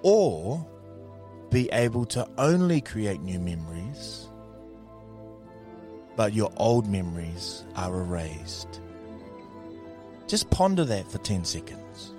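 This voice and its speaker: A man's voice: lowish voice, very animated, slow pace, pauses often, over music. A man hosting a morning radio show, teasing and laughing often in casual banter.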